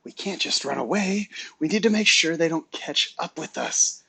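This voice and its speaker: A man's voice: fairly high pitch, normal speed, pushed and bright, a little hiss or hum, pitched above the performer's own voice. A man voicing a fearful young female bear cub who blurts things out in a storybook. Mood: urgent.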